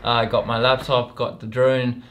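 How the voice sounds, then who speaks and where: A man's voice: mid-range voice, normal speed. A young man, a vlogger, chatting casually and rambling a little as he answers viewer questions with his girlfriend. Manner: relaxed, rambling, laughs often. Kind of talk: casual couple Q&A.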